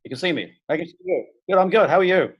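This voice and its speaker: A middle-aged man from Hungary, talking as a guest on a video call and speaking haltingly with many fillers and restarts. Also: high voice, expressive, slightly rough, no echo, dull audio. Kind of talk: casual interview talk.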